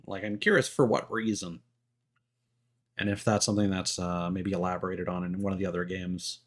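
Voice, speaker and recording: A man's voice: low-pitched, steady tone, brisk. A man doing casual gameplay commentary as a streamer, thinking aloud as he plays. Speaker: live streamer playing a game. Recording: game music clearly behind. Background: video game music.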